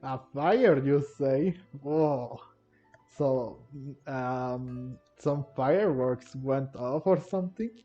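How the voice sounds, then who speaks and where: Slow talking guy doing halting game commentary, mellow, muffled mic. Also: mid-range voice. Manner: hesitant, halting. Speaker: gamer commenting on gameplay. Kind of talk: casual off-the-cuff commentary. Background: game music.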